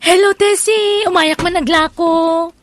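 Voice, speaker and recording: A woman playing a chatty, pushy peddler and nosy neighbour who flatters and teases, in an Ilocano comedy radio drama. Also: very high voice, a little slow, a little hiss or hum. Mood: wheedling. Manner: wheedling, teasing, exclaiming.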